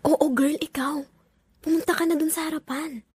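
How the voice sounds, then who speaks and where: A woman's voice: high-pitched, steady tone, normal speed, darkish tone, no room sound, clean studio sound, natural voice. A woman acting a bossy, teasing young female friend in an audio drama, chatty and pushy. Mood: urging, impatient.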